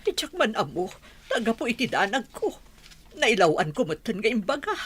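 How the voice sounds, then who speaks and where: A woman's voice: fairly high pitch, sing-song, normal speed, a bit bright, breathy edge, full character voice. A woman playing an anxious middle-aged madam in a radio comedy drama, fretful and sometimes stammering. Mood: anxious, defensive.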